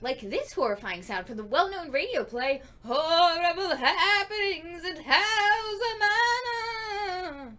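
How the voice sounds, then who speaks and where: A woman's voice: extremely high pitch, lively intonation, takes it slowly, noisy background, exaggerated voice. A woman voicing an earnest, polite and naive little boy who works as a sound artist in an audio comedy drama. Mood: eager, proud.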